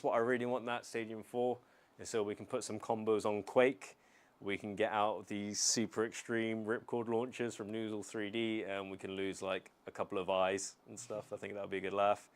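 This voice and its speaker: Low, smooth-voiced man chatting casually. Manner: relaxed, rambling, many ums and restarts. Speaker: streamer answering chat. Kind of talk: casual live-stream chat.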